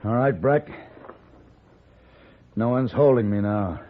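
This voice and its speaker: An actor playing a steady, principled gunfighter hero in an old-time radio Western, speaking in a calm, measured way. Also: male voice, mid-range voice. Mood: cool, resolute. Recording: dull old radio recording.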